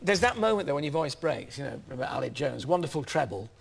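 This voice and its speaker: A male chat show host interviewing a guest in a TV studio, chatty and expressive, with theatrical introductions. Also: on the high side, fast, vocal fry, husky.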